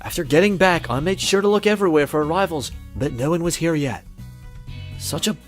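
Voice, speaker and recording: Slangy cocky frat bro, man voicing him, kinda high voice, game dialogue. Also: fairly quick, soft background music, lightly acted. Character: brash young frat bro throwing a party.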